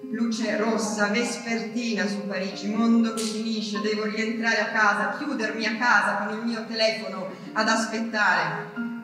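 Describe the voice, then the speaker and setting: An actress playing an anguished wife waiting for her deported husband in wartime, in an intense, halting stage monologue full of grief. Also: medium pitch, little pitch movement, close to an everyday voice. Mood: resigned, weary. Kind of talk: dramatic theatrical monologue, wartime diary. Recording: echoey hall, distant mic, music behind.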